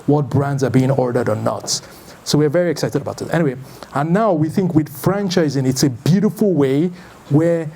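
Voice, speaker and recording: A man in his thirties with a Nigerian accent, giving an informal, conversational talk as a founder introducing an event, in a loose, halting way. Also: fairly high pitch, a little mellow. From Lagos, Nigeria. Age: 30s. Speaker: startup founder and event host.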